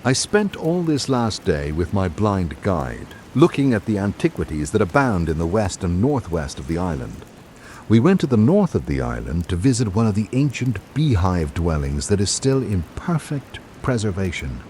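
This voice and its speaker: A man plays a reflective travelling writer narrating a radio drama. His low voice moves expressively through literary prose, with faint rainy noise behind it. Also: big pitch swings, normal speed, darkish tone.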